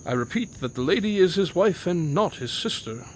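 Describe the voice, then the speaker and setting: A shrewd, commanding male detective, played by an actor in a radio play. His voice sits fairly high for a man, and his diction is formal and precise. Night insects and background noise are clearly audible behind him. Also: lively intonation, moderate pace, close to an everyday voice. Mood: composed, explaining. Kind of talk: audio drama acting.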